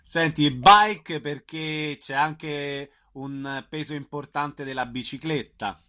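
Man, radio interviewer, informal questioning, sharp forceful tone, slightly raised pitch. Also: slow pace.

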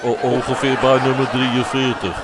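A man playing a slow-witted, earnest male caller in a comic radio sketch, halting, hesitant and stammering. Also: medium pitch, flat delivery, very noisy, natural voice.

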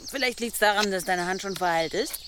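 A woman's voice: medium pitch, a little fast, background noise, close to an everyday voice. An actress playing a tough, wry, reluctant warrior woman in a radio drama, brusque and dry. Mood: wry, impatient. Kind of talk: German radio drama acting. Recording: clear birds and outdoor sounds behind.

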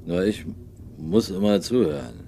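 Very deep, dark, hesitant sailor. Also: male voice, expressive. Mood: roundabout, confiding. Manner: halting, roundabout, deferential. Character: hesitant, deferential sailor with a sly streak. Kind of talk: 1950s radio drama acting. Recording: slightly hissy 1950s radio recording.

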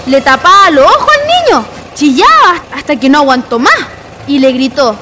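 An actress playing an excitable, gossipy Chilean woman in a radio drama, telling tales in colloquial speech. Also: sits high, moderate pace, heavy background noise. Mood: excited gossip. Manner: animated, colloquial Chilean storytelling. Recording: music behind the voice.

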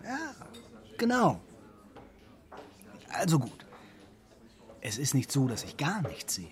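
An actor playing a cagey, casual bar pianist in a radio play, evasive and given to conspiratorial hushed tones. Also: male voice, mid-range voice, huge pitch swings, slow. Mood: evasive, secretive. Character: secretive, cagey bar pianist, an old acquaintance.

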